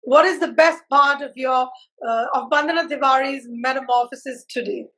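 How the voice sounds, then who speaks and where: A woman's voice: high voice, moderate pace, fairly bright tone, a little hiss or hum, poor audio. A woman hosting an interview over a live video stream, effusive and encouraging with her guest. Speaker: host.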